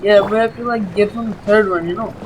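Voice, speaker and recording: A woman's voice: mid-range voice, fairly even intonation, fast talker, very noisy. A woman hosting a gaming video with casual, informal, rambling gameplay commentary, often saying "like". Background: game music.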